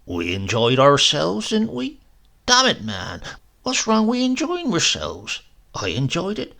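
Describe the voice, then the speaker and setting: A man's voice: fairly high pitch, huge pitch swings, measured pace, creaky, sharp tone, voice pushed up, exaggerated voice. A man putting on a crusty old country rogue. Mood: gruff defiant. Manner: dialect-heavy, crusty, grumbling. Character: gruff old rustic man, roguish and unrepentant. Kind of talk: storybook reading.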